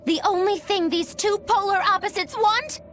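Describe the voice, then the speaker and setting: A woman's voice: extremely high pitch, normal speed. A woman voicing an eccentric, teasing witch girl in a video game, speaking in cryptic, theatrical riddles. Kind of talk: English video game voice acting. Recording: game music under the voice.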